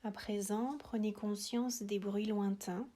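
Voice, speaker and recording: A woman guiding a relaxation meditation in a soothing, hushed voice, with short phrases, long pauses and breathy, whispered endings. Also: medium pitch, fairly even intonation. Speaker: yoga nidra relaxation guide.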